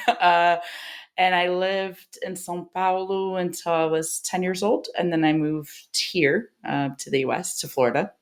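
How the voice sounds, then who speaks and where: A woman's voice: on the low side, fairly even intonation, measured pace. A woman in her forties, originally from Brazil, chatting as a podcast guest and laughing often. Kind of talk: casual interview chat.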